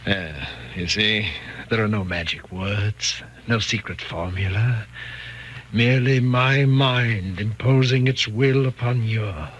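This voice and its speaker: An actor playing a domineering, murderous hypnotist in a 1940s radio thriller, slow, commanding and coaxing. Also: male voice, on the low side, fairly bright tone. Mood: menacing, controlling. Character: sinister, domineering male hypnotist with murderous calm. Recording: dull, noisy 1940s radio recording.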